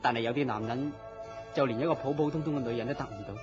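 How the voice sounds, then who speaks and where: A man's voice: medium pitch, racing, close to an everyday voice. An actor with a Hong Kong Cantonese accent playing a polite, bashful man courting his boss's mistress in a radio drama, deferential and hesitant. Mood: sympathetic, envious. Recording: muffled lo-fi radio drama, music behind.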